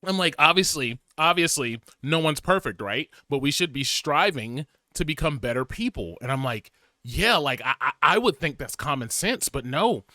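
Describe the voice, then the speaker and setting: A man in his twenties chatting casually to camera, rambling and animated, with lots of fillers and laughs. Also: fairly high pitch, normal speed, no room sound, studio quality. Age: 20s. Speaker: vlogger.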